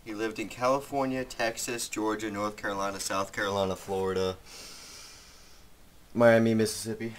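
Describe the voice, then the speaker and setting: A man's voice: fairly low pitch, fairly even intonation, normal speed. A young man in casual banter as a video co-host, rambling and joking as he reads out notes. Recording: noisy, distant microphone with clicks.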